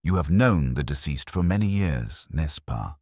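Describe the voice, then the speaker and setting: A man narrating an audiobook speaks the lines of a courteous elderly male detective, asking gentle, probing questions with pauses. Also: a bass voice, lively intonation, no echo, clean studio sound, full character voice.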